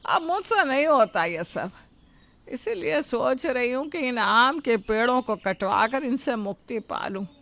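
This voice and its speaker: Woman as a slow, nagging old mother-in-law. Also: on the high side, lively intonation, gravelly, a bit bright, exaggerated voice. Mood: complaining. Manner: nagging, drawn-out complaining. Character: old grumbling mother-in-law, complaining and sharp-tongued.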